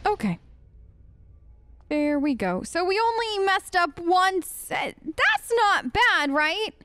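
A woman with a high voice chats casually as she plays a game. She takes her time, talks playfully to the characters, and is recorded close and dry. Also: big pitch swings, slow pace, bright, forceful tone, no echo. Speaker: let's play streamer. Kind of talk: casual gameplay commentary, chatting to characters. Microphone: close, dry mic.

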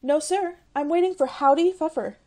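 A woman's voice: sits high, normal speed, warm tone, lightly acted. A woman playing an eager, naive young college girl, chirpy and gushing, in old-time radio comedy style.

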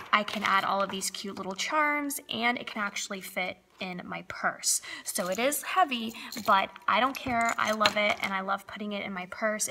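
A woman's voice: mid-range voice, gravelly, pushed and bright, slight background noise. A young woman with a slightly froggy voice, chatting upbeat as she narrates her planner decorating. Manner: chatty, upbeat, lots of fillers. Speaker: planner crafting vlogger. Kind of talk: casual voice-over plan with me.

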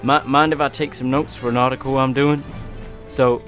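An actor playing a polite, earnest young American newspaper correspondent in a wartime radio drama. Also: male voice, mid-range voice, level tone, moderate pace, fairly bright tone, close to an everyday voice. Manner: polite, hesitant. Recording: faint music behind the voice.

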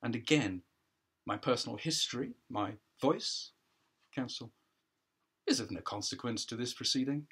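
A man's voice: mid-range voice, moderate pace, pauses often, fairly bright tone, breathy edge, voice pushed down. A man playing a defiant, aggrieved history teacher on trial in a play, with an earnest, lecturing manner.

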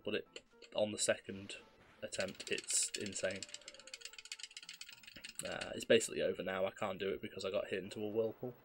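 A man, slightly hoarse with a cough, calmly explaining his speedrun as he plays. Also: on the low side. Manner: relaxed, explanatory, self-deprecating. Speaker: speedrunner commentating his own run. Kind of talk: live gameplay commentary. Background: faint game music.

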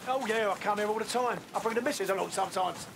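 An actor playing a sardonic, bossy middle-aged British army officer in a video game, with a London accent and a mocking manner. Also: male voice, high voice, quick pace, creaky, fairly bright tone, a little hiss or hum. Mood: sarcastic, stern. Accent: English, London. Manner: mocking, sarcastic. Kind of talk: video game cutscene acting. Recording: music under the voice.

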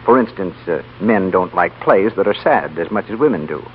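Low male voice, polished radio pitchman, lively intonation, 1930s ad, muffled with faint hum. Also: normal speed, faint music behind. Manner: polished, persuasive. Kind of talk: 1930s radio advertising narration.

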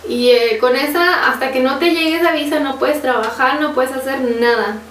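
A woman's voice: high-pitched, normal speed, a bit bright. A young woman with a Mexican accent, casually chatting and laughing often as she answers viewer questions on a sofa at home. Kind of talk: casual Q&A chat. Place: living room, on a sofa. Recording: roomy, slightly distant, faint hiss.

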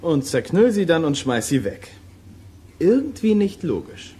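A grumbling, flippant boy detective in a radio play for young listeners. The mid-pitched voice swings around expressively in sarcastic, slangy lines, over faint noise. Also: big pitch swings, unhurried, dark tone. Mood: sceptical. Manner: colloquial, sarcastic. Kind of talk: youth audio drama acting.

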